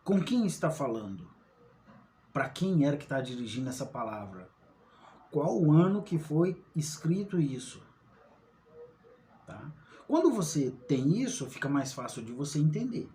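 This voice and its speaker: A man's voice: fairly high pitch, very animated, unhurried, long pauses, warm tone, slight background noise. A man giving an informal Bible study, often asking rhetorical questions and checking in with his listeners. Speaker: online Bible teacher.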